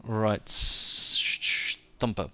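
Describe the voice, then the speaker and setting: A man's voice: slow, pushed and bright. A man with a New Zealand accent running a live tabletop game as game master, loose and playful, punchy when he narrates big hits.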